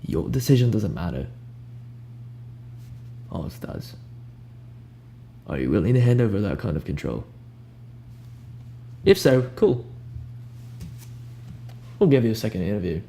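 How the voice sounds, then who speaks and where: A young man improvising a soft-spoken ASMR roleplay as a cool, ominous recruiter, talking in a casual, blunt, unhurried way over hiss and hum. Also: on the low side, big pitch swings, creaky. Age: young adult. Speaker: ASMR roleplayer playing a job interviewer. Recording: audible background hiss and hum.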